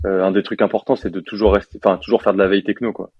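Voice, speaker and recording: Low-voiced young French developer, casual. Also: male voice, racing, husky, dry recording, dull audio. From France. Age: 20s. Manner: relaxed, chatty, lots of fillers and slang. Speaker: software developer guest. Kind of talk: casual interview chat.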